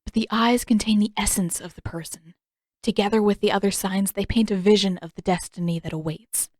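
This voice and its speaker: A woman's voice: mid-range voice, steady tone, a little fast, a little mellow, no room sound, close to an everyday voice. A woman voicing an older female fortune teller who speaks in grand, florid, disdainful pronouncements. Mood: haughty disdain. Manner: lofty, florid, solemn pronouncements.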